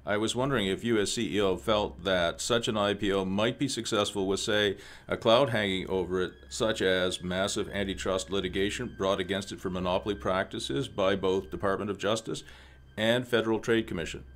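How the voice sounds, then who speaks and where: A man acts out a menacing government official in a radio play. His voice sits on the low side. He speaks formally and deliberately, with condescension and a suave threat under the words. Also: steady tone, a bit bright, natural voice. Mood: veiled threat.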